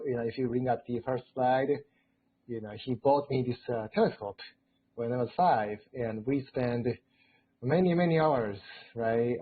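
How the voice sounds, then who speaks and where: A man in his forties from Japan with a Japanese accent, telling his story as a guest speaker, full of "you know" fillers and rising excitedly in pitch. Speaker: guest speaker, robotics researcher. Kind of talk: storytelling in a live lecture chat. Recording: tinny phone-like line.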